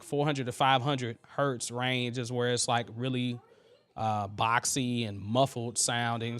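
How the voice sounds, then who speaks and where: Male host, thin but smooth voice, loose and talky, live tutorial feel. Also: mid-range voice, a bit bright. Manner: loose and chatty, full of fillers and asides to the chat. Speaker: host teaching vocal mixing. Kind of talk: casual live tutorial, chatting with viewers.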